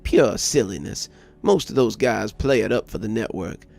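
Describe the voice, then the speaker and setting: A man voicing a plainspoken old grandfather, a former trucker reminiscing in a folksy, gruff manner in an audio story. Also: on the low side, animated, normal speed, a little mellow, voice pushed down. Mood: gruff, wry.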